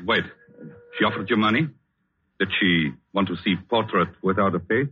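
An actor in a 1940s radio drama playing an intense, vengeful artist with a commanding, dramatic delivery. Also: male voice, lowish voice, fairly quick, lightly acted. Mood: urgent. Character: intense, vengeful French artist bent on killing. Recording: tinny old radio recording.

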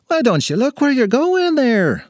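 A man's voice: very high voice, huge pitch swings, measured pace, dry recording, studio quality, pitched above the performer's own voice, full character voice. A man voicing a little forgetful, feisty leprechaun with an Irish accent in a storybook tale. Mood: indignant. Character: little red-bearded male leprechaun, forgetful, feisty and chatty.

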